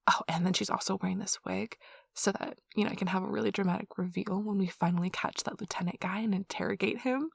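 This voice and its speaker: Nerdy, affectionate girlfriend, played by a woman for cozy ASMR roleplay: soft-spoken, gushing, chatty, husky with some vocal fry, dry close recording. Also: medium pitch, fairly even intonation, fast talker, dry recording. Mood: gushing, excited. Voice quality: soft, breathy, slightly husky. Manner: gushing, casual, giggly, chatty. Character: nerdy affectionate girlfriend, excitedly rambling about tabletop gaming. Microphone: close microphone, dry.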